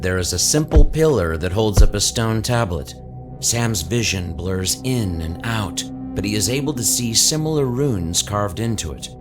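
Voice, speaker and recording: A man giving dramatic scripted narration for a horror audio drama. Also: fairly low pitch. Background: music under the voice.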